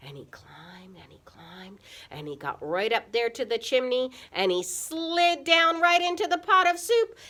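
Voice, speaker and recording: A woman telling a children's puppet-show story in a dramatic, expressive way that can drop into a hush. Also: high-pitched, measured pace. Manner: dramatic, expressive, drops into hushed whispers.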